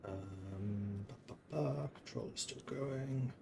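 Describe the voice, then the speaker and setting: A man's voice: mid-range voice, slow, creaky, noisy background. A man from the Netherlands who grew up in England, streaming a strategy game and thinking aloud in casual commentary, chatting with viewers and laughing often.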